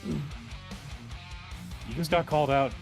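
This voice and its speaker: A man's voice: a little high, fairly even intonation, takes it slowly, gravelly. A man in casual chat as a guest on a stream. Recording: music playing behind the voice. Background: plucked guitar music.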